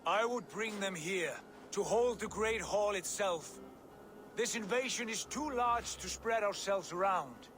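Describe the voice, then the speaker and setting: An actor playing a loyal, earnest war god in a video game cutscene, with score behind. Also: male voice, high voice, a little slow, over music. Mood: resolute. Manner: earnest, resolute. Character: Tyr, loyal male war god, steady and blunt.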